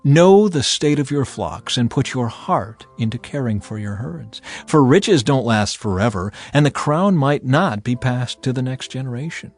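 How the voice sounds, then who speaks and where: Male Bible narrator: husky, theatrical, widely swinging intonation, deliberate emphasis, soft music bed underneath. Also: medium pitch. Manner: theatrical, emphatic, deliberate delivery with expressive swells and drops. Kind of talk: dramatized scripture reading. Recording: voice over background music.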